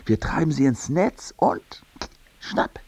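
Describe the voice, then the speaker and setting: A husky, lowish-voiced schemer, the pushy out-of-work pal in a radio drama. He talks his friend into things with eager, lively swoops, recorded dry and a little dull. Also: slow pace, no echo, exaggerated voice. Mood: persuasive. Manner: eager, persuasive, colloquial. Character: Jens, scheming jobless fitter, pushy cheerful buddy. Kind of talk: naturalistic German radio play dialogue.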